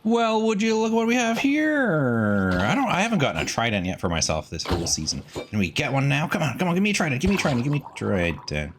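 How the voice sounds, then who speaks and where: A man's voice: medium pitch, huge pitch swings, fast, hardly any pauses, a little hiss or hum. A man giving casual, chatty gameplay commentary, rambling in asides to viewers as a video host.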